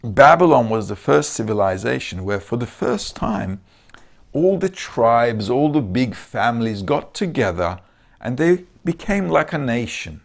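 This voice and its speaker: A man's voice: medium pitch, sing-song, measured pace, gravelly. A man with a Turkish accent giving a casual lecture over a video call, often checking in with his listeners. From Turkey.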